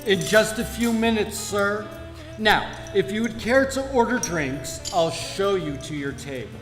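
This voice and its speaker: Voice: mid-range voice, expressive, measured pace, natural voice. A performer playing an unflappable, formal and slightly condescending restaurant waiter in a live radio-comedy play. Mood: patient, smug. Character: unctuous, unflappable waiter at an end-of-universe restaurant. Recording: echoey live hall, faint music behind.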